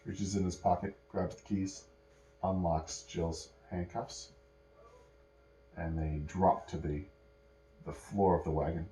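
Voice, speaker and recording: A man improvising a bedtime story as narrator, pausing and correcting himself as he goes. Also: low voice, fairly even intonation, mellow, slight background noise. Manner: pauses and self-corrections while telling. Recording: echoey room.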